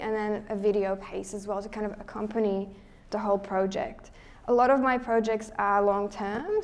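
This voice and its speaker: A young woman from Slovakia with a Slovak accent, speaking as a guest presenter to a live audience in a lecture hall, friendly and relaxed, restarting sentences as she thinks. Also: mid-range voice. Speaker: guest speaker, underwater photographer. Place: lecture hall at a photo show.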